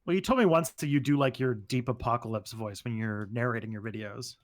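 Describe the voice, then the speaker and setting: Fast-talking man tossing dry quips. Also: mid-range voice. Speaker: podcast co-host. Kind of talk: casual podcast chat.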